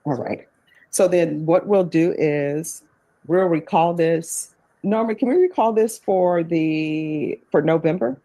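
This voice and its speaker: A woman judge in a courtroom, friendly and plainspoken, spelling out clear step-by-step instructions. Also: on the low side, takes it slowly, dark tone. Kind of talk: presiding over court hearings.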